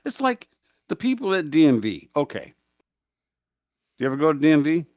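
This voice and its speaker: A man with a deep, projecting voice chatting casually on a remote call, apologetic and self-deprecating. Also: sing-song, moderate pace, a little mellow, no echo. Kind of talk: casual chat.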